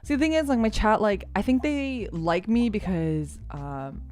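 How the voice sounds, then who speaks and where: A woman's voice: animated, measured pace, darkish tone, faint music behind. A woman streaming a game, chatting casually with her viewers in a rambling, giggly, self-deprecating way with lots of filler words. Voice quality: lower voice for a woman. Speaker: VTuber streamer.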